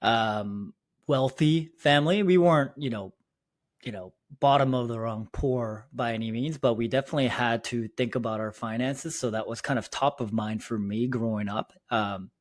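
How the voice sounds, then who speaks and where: An upbeat young man from South Korea, raised in Canada, talking as a founder in a relaxed interview. He speaks at a middle pitch, often restarting and filling with ums. His voice is recorded close and dry, with no room sound. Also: normal speed, no room sound. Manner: frequent fillers and self-restarts, upbeat. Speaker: startup founder, podcast guest. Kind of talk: casual interview talk.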